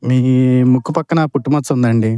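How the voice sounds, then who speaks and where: A man's voice: mid-range voice, fast, warm tone, dry recording, clean studio sound. A man answering a phone call and chatting casually. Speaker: boyfriend receiving a prank call.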